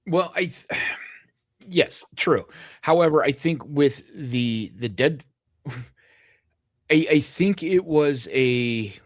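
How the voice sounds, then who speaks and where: A man's voice: on the high side, lively intonation, no echo, studio quality. A man co-hosting a podcast, speculating casually like a fan, often doubling back on phrases and swearing as he goes. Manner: halting, repeats phrases, profane. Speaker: podcast co-host.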